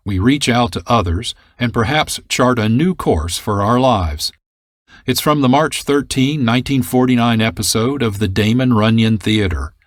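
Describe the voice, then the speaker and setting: A man hosting a radio program in measured, deliberate scripted narration. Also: mid-range voice, no echo, studio quality. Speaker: program host.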